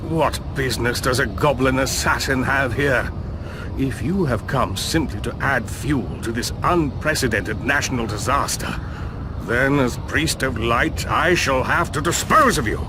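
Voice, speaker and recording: An actor playing a proud, stern old elf priest in a fantasy game, formal and haughty. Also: male voice, mid-range voice, measured pace, natural voice. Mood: suspicious. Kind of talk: fantasy game cutscene acting. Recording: clearly noisy background.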